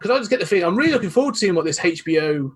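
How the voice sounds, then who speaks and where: A man, a guest on a casual podcast chat, talking in a thoughtful, measured way with many asides. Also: sits high, lively intonation, slightly rough. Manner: thoughtful, measured, hedging with "you know".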